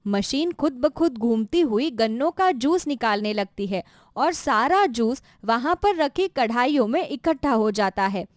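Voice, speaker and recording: A woman's voice: a little high, animated, unhurried, no echo, studio quality. A woman narrating a scripted children's moral story as a storyteller.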